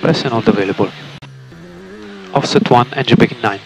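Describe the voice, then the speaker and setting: A man's voice: mid-range voice, a little fast, faint music behind. A male race engineer speaking over team radio in terse, clipped instructions, often repeating them. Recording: noisy motorsport team radio, car noise.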